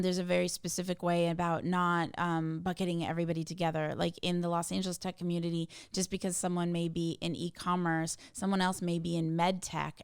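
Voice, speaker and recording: A woman's voice: lowish voice, vocal fry, clear, resonant tone, no room sound, clean studio sound. A woman answering interview questions in an earnest, passionate, emphatic way. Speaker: tech community founder, podcast guest. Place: hotel suite.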